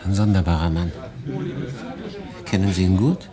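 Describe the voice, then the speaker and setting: A man plays a refugee hunting for a visa in a radio play. His replies are terse and guarded, delivered in a low voice. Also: fairly even intonation, normal speed, mellow, natural voice. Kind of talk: radio drama acting.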